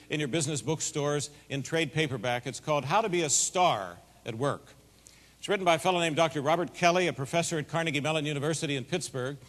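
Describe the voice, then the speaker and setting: A middle-aged man giving a keynote speech, telling a folksy, animated story in a big voice. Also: on the high side. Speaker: keynote speaker. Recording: long hall reverb.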